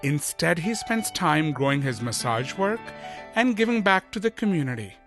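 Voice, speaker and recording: A man's voice: fairly high pitch, very animated, normal speed, a bit bright, faint music behind. A man hosting an inspirational talk show who reads a scripted introduction and then interviews the guest, affirming him and restating what he says with frequent mm-hmms.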